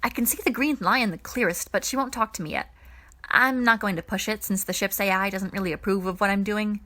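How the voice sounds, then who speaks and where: Lady doing a brainy curious teen girl, earnest and casual, bright voice, audiobook. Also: medium pitch, fairly quick, close to an everyday voice. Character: clever, curious teenage girl tech whiz.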